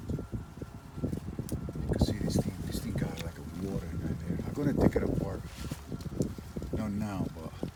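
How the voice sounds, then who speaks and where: Low-voiced man muttering over noisy repair work. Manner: informal, muttering to himself while working. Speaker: vlogger, tradesman doing a DIY truck repair. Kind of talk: casual hands-on repair vlog. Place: at a vehicle being repaired.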